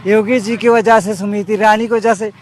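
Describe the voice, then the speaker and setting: A man's voice: sits high, steady tone, normal speed. A man being interviewed on the street, complaining in an aggrieved, repetitive way. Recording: street interview with faint background noise.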